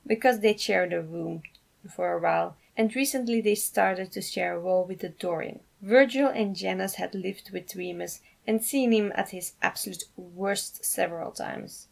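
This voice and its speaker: A woman narrating a piece of fan fiction in an expressive storytelling style. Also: medium pitch, normal speed, vocal fry.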